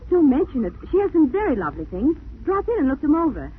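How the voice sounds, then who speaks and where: An actress with an American accent playing a genteel, spirited young society woman in a 1940s radio mystery, refined and expressive. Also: high voice, fast talker. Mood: chatty, teasing. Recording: tinny, noisy 1940s radio recording.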